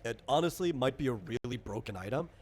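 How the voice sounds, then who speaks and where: A man's voice: mid-range voice, lively intonation, quick pace, darkish tone. A man acting as game master, casually explaining tabletop game rules and thinking aloud as he goes. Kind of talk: casual explanatory chat during character creation.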